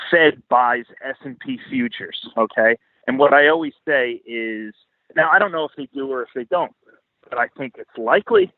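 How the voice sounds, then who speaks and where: A man's voice: a little high, dry recording. A man giving heated, opinionated interview commentary as a podcast guest on financial markets, blunt, emphatic and freely swearing. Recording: band-limited phone-like line, dry.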